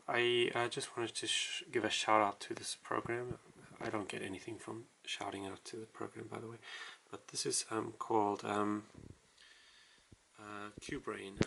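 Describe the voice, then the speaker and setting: A man casually walking through a writing tutorial, explaining in a halting, self-deprecating way as he writes. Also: fairly low pitch, gravelly, bright, forceful tone, a little hiss or hum, poor audio. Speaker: language tutorial host.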